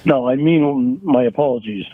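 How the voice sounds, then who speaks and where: A man in a recorded phone argument, talking in a halting, defensive way and repeating words as he restarts. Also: medium pitch. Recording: tinny phone line recording.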